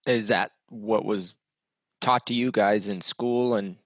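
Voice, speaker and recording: A man's voice: fairly low pitch, steady tone, takes it slowly, no room sound. Male interviewer asking loose questions.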